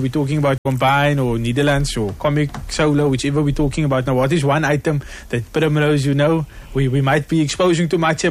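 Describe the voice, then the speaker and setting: A man hosting a casual interview, asking loose, teasing questions. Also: on the high side. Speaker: interview host.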